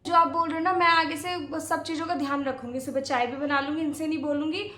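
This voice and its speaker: Young daughter-in-law played by a woman, high voice, coaxing and innocent, home comedy skit, roomy echo. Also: moderate pace, a bit bright. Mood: pleading, soothing. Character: young daughter-in-law, placating, sweetly cheeky. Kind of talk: domestic comedy skit acting. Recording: echoey room.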